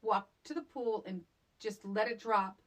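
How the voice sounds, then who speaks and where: A woman's voice: medium pitch, unhurried. A woman co-hosting a podcast, recapping a film in casual, sarcastic, comedic chat. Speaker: podcast co-host.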